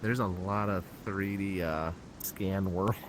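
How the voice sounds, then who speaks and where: A young adult man chatting and teasing casually next to a streamer, with a deep, relaxed voice. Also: takes it slowly, a little hiss or hum. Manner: deep, laid-back, teasing. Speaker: streamer's friend. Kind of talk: casual chat beside the streamer.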